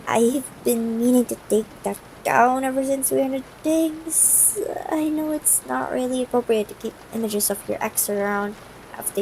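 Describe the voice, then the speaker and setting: A woman playing a tender, yearning, gently commanding CEO ex-girlfriend in an intimate ASMR roleplay, soft-spoken and formal in wording, with hesitations. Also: on the high side. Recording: noisy broken-microphone home recording.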